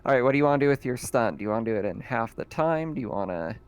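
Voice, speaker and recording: A man narrating as game master in a relaxed, chatty way. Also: medium pitch, racing, clean tone.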